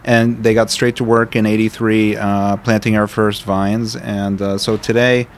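A middle-aged man with a smooth radio voice, a winery owner telling his family's story in a measured way, with fillers. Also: fairly low pitch, level tone, slight background noise. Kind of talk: podcast interview. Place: vineyard tasting area.

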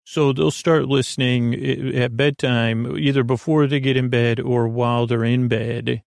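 Male podcast host, fry-heavy voice in a dry recording, wandering and hesitant bedtime rambling. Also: mid-range voice, steady tone, no echo. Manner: meandering, halting, trails off into tangents and self-questioning. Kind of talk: rambling monologue for a sleep podcast.

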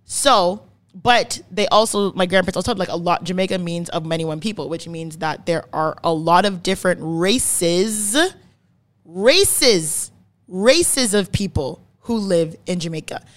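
A woman's voice: on the low side, a little slow. Emphatic young woman chatting casually. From Canada. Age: 20s. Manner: emphatic, repeats words for stress, swears freely. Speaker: podcast host. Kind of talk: casual chat, opinionated explaining.

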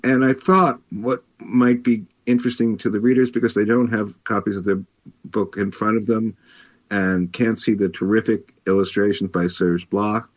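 A man speaking as an editor hosting a book talk, in a measured, articulate and slightly hesitant conversational way. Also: lowish voice, steady tone, dry recording, lo-fi sound.

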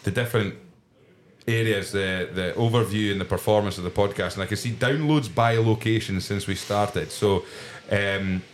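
A man's voice: lowish voice, normal speed, a little hiss or hum. A man co-hosting a podcast, chatting enthusiastically with frequent restarts. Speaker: podcast co-host. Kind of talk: casual chat.

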